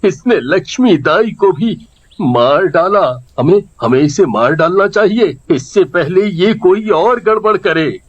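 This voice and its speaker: Man acting a high-voiced, slow village husband. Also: husky, dry recording, dull audio, heavily acted. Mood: anxious. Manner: expressive, stammering when scared. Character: excitable, worried young village husband and father.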